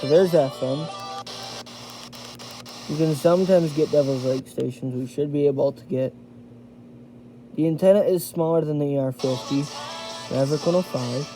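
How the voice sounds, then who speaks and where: A man giving a casual hands-on product review, thinking aloud in a halting way as he demonstrates. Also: fairly high pitch, music in the background. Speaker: amateur gadget reviewer. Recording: noisy homemade recording with radio static.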